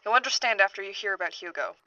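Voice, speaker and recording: A woman's voice: medium pitch, lively intonation, pushed and bright, dry recording. Troubled woman academic, on dull tape. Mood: troubled, earnest. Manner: earnest, halting, uneasy. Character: troubled female university biologist reporting a missing colleague. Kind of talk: horror audio drama, witness statement.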